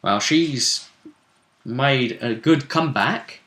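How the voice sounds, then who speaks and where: A man's voice: mid-range voice, expressive, slow, a bit bright. A man hosting a casual conversational recap of a tabletop role-playing game, recorded in a room. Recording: roomy, echoing sound.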